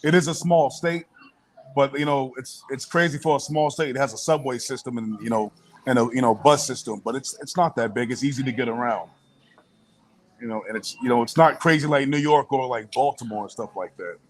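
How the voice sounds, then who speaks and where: A man's voice: medium pitch, fairly quick. Laid-back man in his thirties. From Boston. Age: 30s. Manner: relaxed, laid-back, laughs easily. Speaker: podcast guest. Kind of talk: casual chat.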